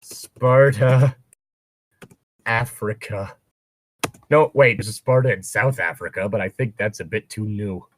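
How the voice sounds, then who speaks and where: A man's voice: on the low side, unhurried, pauses often. A man co-hosting a casual fan fiction read-along, riffing with wry asides as he reads. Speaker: co-host of a fan fiction reading show.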